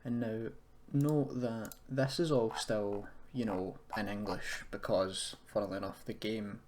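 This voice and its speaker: A man giving a casual software tutorial, rambling and thinking aloud with self-deprecating asides. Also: on the low side, steady tone, measured pace. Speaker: tutorial host. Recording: clearly noisy, keyboard clicks and typing. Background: background noise, computer keyboard and mouse clicks.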